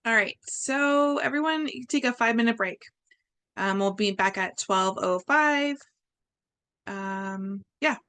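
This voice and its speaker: A woman's voice: on the high side, a bit bright, clean tone. A woman hosting an online class, thanking a speaker, calling a break and introducing the next presenter. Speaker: class host.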